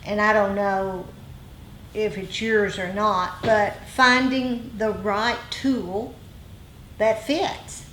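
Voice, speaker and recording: Female group teacher, very slow conversational delivery with repeated phrases, in a reverberant room. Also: medium pitch, steady tone, fairly bright tone. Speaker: dharma teacher leading group discussion. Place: meditation group meeting room. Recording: echoey room, faint noise.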